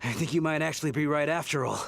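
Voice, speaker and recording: An actor playing a gruff, indignant man in a video game, a confused lost ghost, with a gravelly voice. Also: a little high, quick pace, slight background noise. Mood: strained, dawning realisation. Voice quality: creaky, gravelly. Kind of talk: scripted game dialogue.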